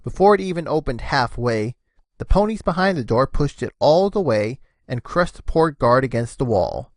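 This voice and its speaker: A woman giving an expressive, scripted narration of a story. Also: rumbling low, normal speed, dry recording, studio quality.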